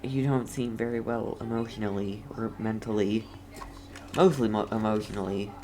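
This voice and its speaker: A woman's voice: very deep voice, normal speed. A woman game streamer giving casual, reactive commentary as she plays.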